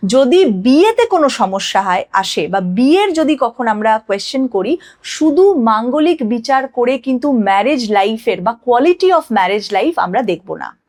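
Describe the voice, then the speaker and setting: A woman's voice: on the high side, sing-song, normal speed, darkish tone. A woman explaining like an astrologer on a podcast, emphatically asking and answering her own questions and mixing in English phrases.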